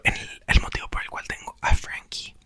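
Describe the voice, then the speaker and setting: A young man, whispering softly into a close mic as he chats about a book for ASMR. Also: normal speed. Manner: soft whisper throughout. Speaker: ASMR creator. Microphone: small mic clipped to a doll's hair.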